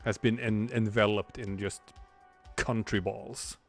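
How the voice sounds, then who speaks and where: A man's voice: on the low side, level tone, unhurried, faint music behind. A man in his forties, a streamer and comic artist, talking in a rambling, reflective way while he draws, with many restarts. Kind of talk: casual livestream chat while drawing.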